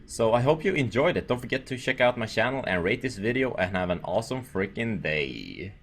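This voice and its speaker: A man's voice: mid-range voice, very animated, brisk, fairly bright tone. A man from Sweden with a Swedish accent gives casual, rambling and jokey commentary over gameplay. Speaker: gaming video creator.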